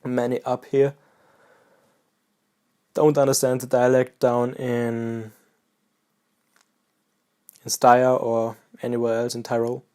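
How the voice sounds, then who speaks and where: A man from Austria with an Austrian German accent, a native German speaker, chatting casually and haltingly to camera as a pipe-smoking vlogger. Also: medium pitch, smooth. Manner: halting, relaxed, pauses mid-sentence.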